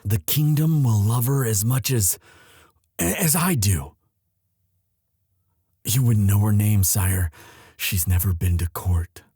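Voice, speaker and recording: A man playing an earnest, lovestruck young prince in an audio drama, speaking formally and haltingly as he implores his father. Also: on the low side, mellow, husky, no echo, clean studio sound, exaggerated voice. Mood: earnest pleading. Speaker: voice actor in romance audio roleplay. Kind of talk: dramatic one-sided audio roleplay.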